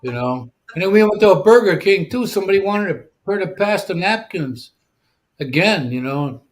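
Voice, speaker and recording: A man's voice: high voice, expressive, moderate pace. An older man telling offhand anecdotes in a casual chat. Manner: storytelling, offhand.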